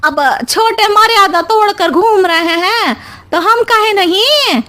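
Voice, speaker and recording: A woman's voice: extremely high pitch, slow, bright, forceful tone, a little hiss or hum, voice pushed up, exaggerated voice. A woman voicing a jealous, scheming younger woman, a meddling sister-in-law who whines dramatically in rustic dialect. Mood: snide.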